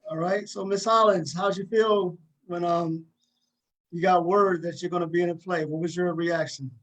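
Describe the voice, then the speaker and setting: A man's voice: high voice, steady tone, moderate pace, fairly bright tone, low-quality recording. A man hosting a casual talk show interview, encouraging his guests and often repeating himself. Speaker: host interviewing a play's cast.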